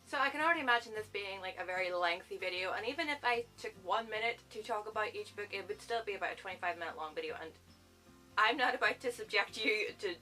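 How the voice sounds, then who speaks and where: A young woman, chatting to camera as a book vlogger, rambling and excitable and laughing at herself. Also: mid-range voice, fast talker, faint music behind, rough recording. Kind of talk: casual chat to camera.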